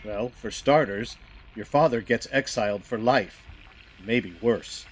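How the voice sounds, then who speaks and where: A man playing a secretive alien who passes as human, speaking in a measured, earnest way in an audio drama. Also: fairly low pitch, noisy background, natural voice. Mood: grave, guarded. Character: secretive male alien posing as human, calm mentor.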